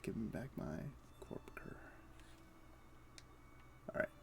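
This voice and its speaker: A man's voice: takes it slowly, in a whisper. A man casually thinking aloud in short, muttered fragments as he streams his gameplay. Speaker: game streamer.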